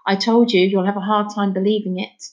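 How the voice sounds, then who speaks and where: A woman's voice: medium pitch, level tone, brisk, darkish tone, lo-fi sound, lightly acted. A woman voicing a stern, troubled father in a storybook, with blunt, scolding, folksy speech. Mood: resigned, confiding. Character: stern, anguished Scottish father, gruff and scolding.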